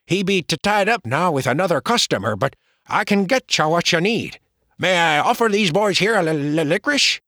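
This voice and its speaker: A man reading an audiobook, voicing an excitable stuttering young male store clerk with a French accent. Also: sits high, moderate pace, no echo, clean studio sound, pitched above the performer's own voice. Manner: stuttering, chattering. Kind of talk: audiobook character voice.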